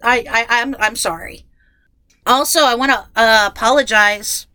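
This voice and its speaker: A young adult woman talks casually into her podcast mic, her voice stuffed up and nasal from a head cold. She sounds bright and sharp, a touch high for a woman, and the sound is close and dead dry from recording inside a closet. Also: fairly even intonation, measured pace, smooth, dry recording. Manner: chatty, self-deprecating, many restarts. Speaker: podcast host. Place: closet at home.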